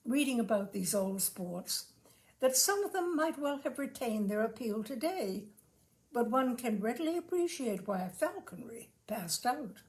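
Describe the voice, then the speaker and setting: A woman hosting a story reading, speaking and reading aloud in an expressive, deliberately phrased way. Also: on the high side, gravelly. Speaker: host and reader of a short-story reading show. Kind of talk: live literary reading aloud with informal introductions.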